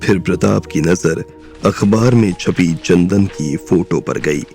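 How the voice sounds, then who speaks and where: Slow, deep, gravelly male narrator. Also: soft background music. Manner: slow, steady storyteller. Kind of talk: scripted storytelling narration.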